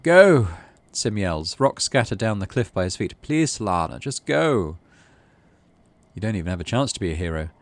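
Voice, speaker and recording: British male gaming host, lowish voice with very varied pitch, casual commentary and read-aloud narration. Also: lively intonation, fast, hardly any pauses, no room sound. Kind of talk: casual playthrough commentary, reading game text aloud.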